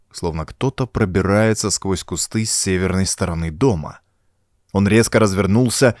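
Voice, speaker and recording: Male narrator, horror story reading, suspenseful, lower-pitched, highly varied intonation, dry recording. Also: a little mellow, no room sound. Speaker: audiobook narrator. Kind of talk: dramatic scripted narration of a horror adventure story.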